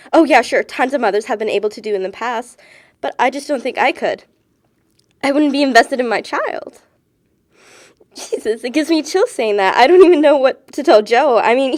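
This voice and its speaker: Female actor for earnest, pleading college-age woman, rapid delivery, slightly high voice, naturalistic film drama. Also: expressive, lightly acted. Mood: anguished, pleading. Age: young adult.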